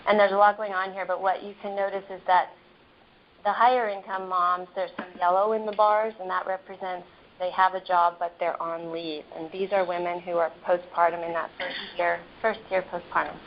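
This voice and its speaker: A soft-spoken woman epidemiologist presenting slides in a measured, explanatory way, with frequent ums and restarts. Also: fairly low pitch, little pitch movement. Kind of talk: slide presentation over a phone line. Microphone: phone on the table. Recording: muffled phone line, faint noise.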